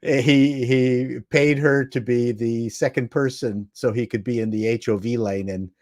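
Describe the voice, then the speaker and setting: A man's voice: mid-range voice, steady tone, unhurried, darkish tone, no echo, studio quality. A man on a panel chatting casually and telling anecdotes.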